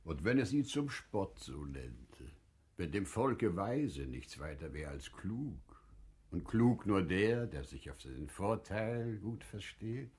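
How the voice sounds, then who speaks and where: A man playing a shrewd wise merchant in a classical radio drama, measured, careful and deferential. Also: deep voice, big pitch swings, a little mellow, breathy edge, full character voice. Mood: measured, cautious. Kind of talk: classical stage verse radio drama acting.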